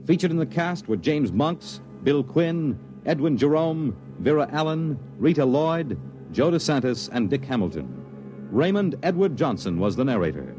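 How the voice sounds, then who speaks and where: A man's voice: fairly high pitch, sing-song, normal speed, over music. A man announcing for 1950s radio, with a formal, sonorous delivery. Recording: vintage 1950s radio transcription.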